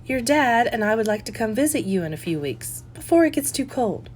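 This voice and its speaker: A woman storyteller puts on a critical older mother in a cozy mystery. The voice sits high and swings widely in pitch. The delivery is plaintive and huffy, full of fussing disapproval. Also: sing-song, a little fast, darkish tone, a little hiss or hum.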